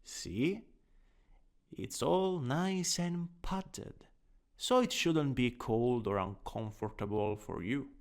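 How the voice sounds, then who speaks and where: Slow, coaxing Italian-accented man acting a male teacher, reverberant. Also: mid-range voice, lively intonation, creaky. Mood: coaxing, tender. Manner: coaxing, doting, instructive, unhurried. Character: gently dominant male anatomy teacher, doting on a catgirl-like pet companion. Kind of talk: ASMR roleplay, scripted. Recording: long reverberant hall echo.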